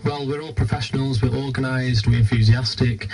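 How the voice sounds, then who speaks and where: A man's voice: medium pitch, steady tone, a little fast, a little mellow, slightly rough, poor audio. A British man giving an informal radio interview as a guest, explaining things earnestly. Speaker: charity team leader, radio interview guest.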